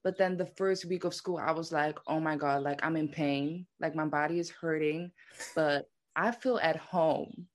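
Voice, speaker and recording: A woman's voice: low voice, normal speed. Chatty young woman from the Netherlands. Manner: chatty, says "like" a lot. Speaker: guest dancer. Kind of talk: casual interview chat.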